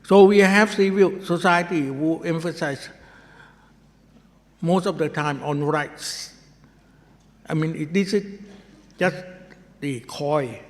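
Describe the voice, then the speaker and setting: Slow Thai-accented man in a hall. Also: fairly high pitch, vocal fry. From Thailand. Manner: slow and deliberate, halting with repeats. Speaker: conference moderator. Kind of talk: formal conference remarks. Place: large conference hall.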